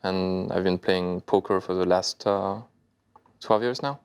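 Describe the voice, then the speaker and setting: Guest, 30s man from China, very low gravelly voice, smooth, hesitant phrasing, soft music under. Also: steady tone. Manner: halting, searching for English words. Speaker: interview guest, poker player. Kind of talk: casual interview answers.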